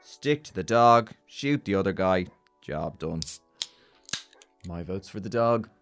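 Deep, dim-witted man. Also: expressive, takes it slowly, over music, full character voice. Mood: naive, blunt. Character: dim, blunt, childlike male radio sidekick. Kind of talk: darkly comic audio drama acting.

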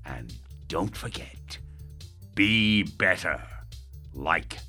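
An actor playing a pompous, sarcastic radio presenter in a darkly comic audio drama, condescending and theatrical. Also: male voice, low-pitched, animated, very slow, music in the background, exaggerated voice. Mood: showy, jovial.

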